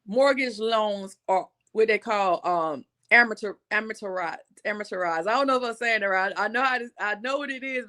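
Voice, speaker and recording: A woman in her forties talks straight to her viewers in a relaxed livestream. She calls them y'all and circles back over her points. Her voice sits at an everyday middle pitch. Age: 40s. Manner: conversational, addresses viewers as y'all, repeats points for emphasis. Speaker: livestream host. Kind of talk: casual explainer livestream.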